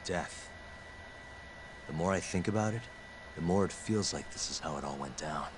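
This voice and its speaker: A man playing a calm, serious sleuth who reasons deliberately, in video game dialogue with background noise. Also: low-pitched, close to an everyday voice. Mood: grave. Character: calm, deep-voiced serious male sleuth.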